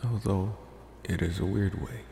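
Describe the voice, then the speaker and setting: A man playing a polite, infatuated, mysterious vampire in an ASMR roleplay, talking slowly and reassuringly with hesitant pauses. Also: low voice, steady tone, vocal fry, mellow, roomy sound, long reverb tail, slight background noise, full character voice. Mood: calm, reassuring. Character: soft-spoken male college-age vampire, politely infatuated, mysterious.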